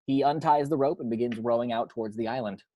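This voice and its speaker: Male narrator for a script table read. Measured, descriptive delivery of stage directions, medium pitch, very dry recording. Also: no room sound, lo-fi sound. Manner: measured, descriptive reading with occasional stumbles.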